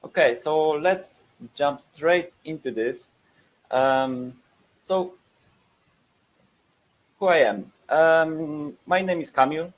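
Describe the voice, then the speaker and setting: A man's voice: a little high, takes it slowly, long pauses, rough recording. Polish-accented man giving a webinar. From Poland. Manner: hesitant, many fillers and repeated words. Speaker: webinar presenter. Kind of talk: webinar presentation.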